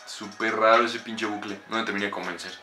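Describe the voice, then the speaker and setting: A man's voice: fairly low pitch, fairly even intonation, a little fast. A man in his twenties with a Mexican accent, chatting casually and with slang as he gives a music reaction. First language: Spanish. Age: 20s. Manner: informal, slangy, swearing. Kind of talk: casual reaction and review chat. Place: small bedroom, indoors. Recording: roomy bedroom echo, faint music.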